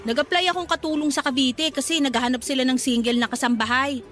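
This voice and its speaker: A woman's voice: high voice, steady tone, fast talker, fairly bright tone, soft background music. A woman playing a gossipy, pragmatic young shop co-worker in a radio drama, talking in a sassy, slangy way. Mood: chatty.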